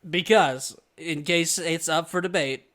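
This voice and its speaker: A man's voice: on the high side, unhurried, a bit bright. A young man co-hosting a podcast, bantering casually, laughing often and swearing as he rambles. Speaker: podcast co-host.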